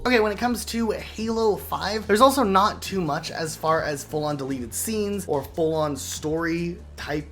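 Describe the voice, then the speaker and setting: A man's voice: high-pitched, a little slow, hardly any pauses, fairly bright tone, soft background music. Man doing casual gaming commentary. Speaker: video host.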